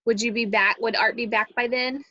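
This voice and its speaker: A woman's voice: on the high side, steady tone, normal speed, pushed and bright. A woman coordinating schedules in a casual meeting on a video call, thinking aloud hesitantly. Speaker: activities coordinator scheduling rehearsals. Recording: slightly dull video-call audio.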